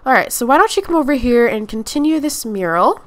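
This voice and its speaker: A bubbly woman with a slightly high voice chats over her gameplay. She talks casually and excitedly, often addressing the characters on screen directly. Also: fairly quick. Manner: bubbly, chatty, talking to her game characters. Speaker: gameplay commentator. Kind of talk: casual let's-play commentary.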